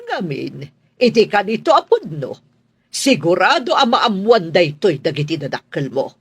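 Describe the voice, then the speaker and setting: A woman's voice: lowish voice, very animated, normal speed, husky, no room sound, heavily acted. An actress with a Filipino accent playing a stern, class-proud, middle-aged rich mother in a radio drama, haughty and scolding. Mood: suspicious, disapproving.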